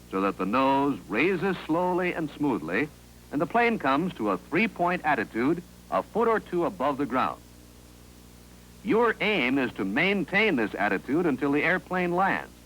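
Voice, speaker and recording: An American man reading scripted narration for a 1950s instructional film in a clear, authoritative, instructive manner, on an old film soundtrack. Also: mid-range voice, fairly bright tone, a little hiss or hum, rough recording.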